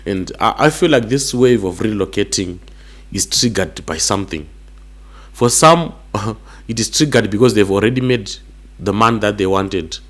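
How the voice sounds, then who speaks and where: A middle-aged Zimbabwean man with a hoarse, flu-worn voice, talking earnestly and personally to camera in a reflective vlog. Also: lowish voice, moderate pace, fairly bright tone. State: hoarse from a cold. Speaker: vlogger.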